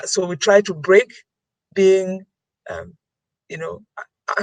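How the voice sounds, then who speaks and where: A woman's voice: deep voice, no echo, poor audio. A woman giving an earnest webinar talk, repeating key phrases for emphasis over a computer mic. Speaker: webinar presenter.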